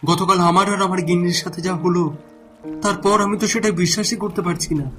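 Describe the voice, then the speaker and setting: A man's voice: high voice, fairly even intonation, fast talker, fairly bright tone, soft background music. A man voicing a worried, bewildered village fisherman in a cartoon, with a hesitant manner. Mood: troubled. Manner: hesitant, repeating words.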